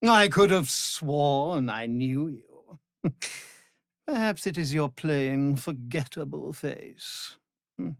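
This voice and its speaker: A man's voice: a little high, lively intonation, takes it slowly, creaky, no room sound, studio quality, exaggerated voice. An actor voicing a cruel, pompous male vampire lord in a video game, imperious and sneering in his delivery. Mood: sneering menace. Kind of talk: theatrical video game villain acting.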